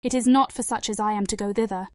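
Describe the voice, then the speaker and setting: A woman's voice: medium pitch, steady tone, fast, darkish tone, dry recording, clean studio sound, pitched above the performer's own voice, lightly acted. A British woman voicing a meek, sorrowful, sweet young heroine in a storybook audiobook, humble and plaintive. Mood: downcast. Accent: British English.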